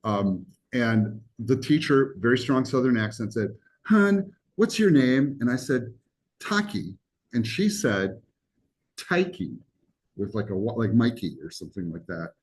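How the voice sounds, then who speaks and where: A man's voice: medium pitch, animated, measured pace. A man who grew up speaking Greek teaches a college class in a classroom, talking casually with jokes, asides and frequent ums. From United States. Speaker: college instructor.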